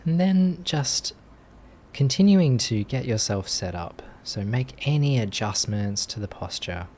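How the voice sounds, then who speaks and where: A man leading a guided meditation as a teacher, speaking gently in short phrases with long pauses. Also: mid-range voice, lively intonation, slight background noise. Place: live online group session.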